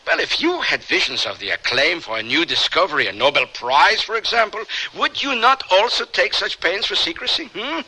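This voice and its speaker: An actor playing a proud, impulsive, indignant scientist in a radio drama, with theatrical and formal phrasing. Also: male voice, sits high, very animated, normal speed, few pauses, bright, forceful tone, husky, exaggerated voice, poor audio. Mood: intrigued, suspicious. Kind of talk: old-time radio drama acting.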